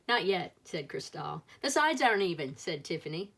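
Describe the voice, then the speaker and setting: A woman's voice: fairly low pitch, sing-song, moderate pace, vocal fry, a bit bright, husky, full character voice. Woman acting confident, teasing young twin sisters.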